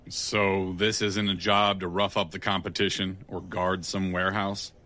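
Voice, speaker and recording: A man's voice: low-pitched, level tone, unhurried, pushed and bright. An actor playing a tough, wry gun for hire in a noir audio drama, blunt in his delivery. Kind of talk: audio drama acting and first-person noir narration.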